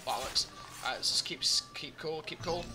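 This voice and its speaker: Chatty gamer with a bright voice. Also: male voice, on the high side, normal speed, faint music behind. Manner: chatty, joking, talks to himself while playing. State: slightly groggy voice. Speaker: gaming commentator. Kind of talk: live gameplay commentary.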